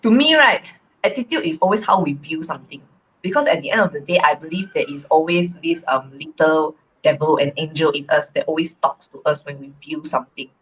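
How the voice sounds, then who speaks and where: A woman from Malaysia co-hosting a casual live stream, talking in a relaxed, reflective way with many fillers. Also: low voice, brisk, husky, highs cut off. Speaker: co-host.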